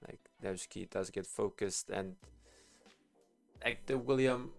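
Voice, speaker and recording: A man talks through a game on a live stream. His voice sits on the low side and has a clear creaky fry. He rambles informally, thinking aloud as he goes, with music playing behind him. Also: takes it slowly, a little mellow. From Bosnia and Herzegovina. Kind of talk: casual game stream commentary.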